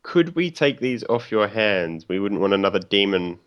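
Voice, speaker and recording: A man with a lowish voice takes part in a tabletop game, speaking casually and partly in character. The recording is dull and muffled. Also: big pitch swings, moderate pace, crowd noise.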